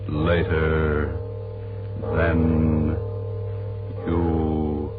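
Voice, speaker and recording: A man's voice: a bass voice, monotone, drawn-out words. A man announcing in an ominous, scripted 1930s radio style. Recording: tinny vintage radio broadcast under music.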